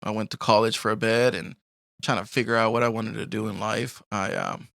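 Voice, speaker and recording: A young man from Colombia with a gravelly, fry-laced voice that still sounds bright and forward. He tells his story in a loose, rambling way, full of "like" and "you know," and laughs easily. The sound is dry and close. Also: fairly low pitch, fairly even intonation, fairly quick, no room sound. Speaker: podcast guest. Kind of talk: casual life-story interview.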